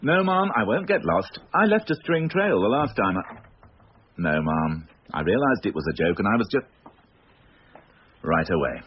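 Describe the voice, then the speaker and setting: Sardonic police inspector, swooping pitch. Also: male voice, sing-song, moderate pace, pauses often, highs cut off, slight background noise. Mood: flustered, deferential. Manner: sarcastic, pointed, theatrical questioning. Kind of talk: radio drama acting.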